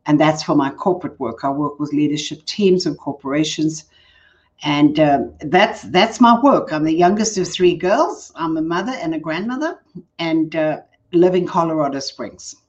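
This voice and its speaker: An older woman with a South African accent, speaking as a guest coach in a relaxed interview and laughing often. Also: low voice, normal speed, lo-fi sound. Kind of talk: casual interview chat.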